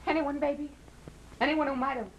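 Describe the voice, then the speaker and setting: A bitter, discontented wife played by an actress in a vintage radio drama. Her voice is fairly high, theatrical and accusing, with faint noise on the recording. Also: steady tone, fairly quick, lightly acted. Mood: scornful. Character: weary, bitter, discontented wife of a poor bookseller. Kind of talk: old-time radio drama acting.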